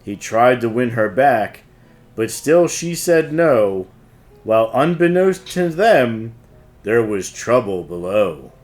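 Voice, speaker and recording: Man reading a storybook, lively and unhurried. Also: medium pitch. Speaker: host and storybook reader. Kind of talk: read-aloud storybook narration.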